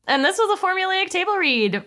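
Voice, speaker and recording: A woman's voice: extremely high pitch, sing-song, fairly quick, pushed and bright. A woman co-hosting a podcast in casual, informal banter with a joking manner. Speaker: podcast co-host.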